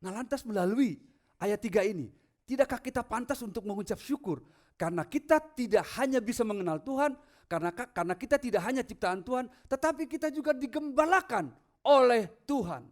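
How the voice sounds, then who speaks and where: Male pastor, high voice, emphatic sermon delivery that moves between quiet asides and loud proclamation. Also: lively intonation, brisk. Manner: rhetorical questions to the congregation. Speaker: pastor preaching. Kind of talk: sermon with scripture readings.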